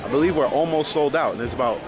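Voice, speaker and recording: A young man talking casually to camera in a vlog, relaxed and reflective. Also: medium pitch, fast, music in the background. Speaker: vlogger.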